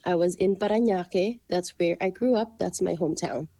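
A woman from the Philippines, a coffee shop owner talking about her family as an interview guest, often pausing with "um" and restarting. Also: on the low side, dark tone, no room sound. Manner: hesitant, many ums and restarts. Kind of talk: casual interview.

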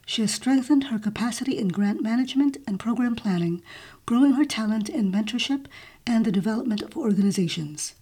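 A woman's voice: on the high side, lively intonation, brisk, mellow. A woman hosting a podcast, reading a guest introduction and then asking questions. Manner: reads the intro smoothly, then asks questions with ums. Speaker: podcast host.

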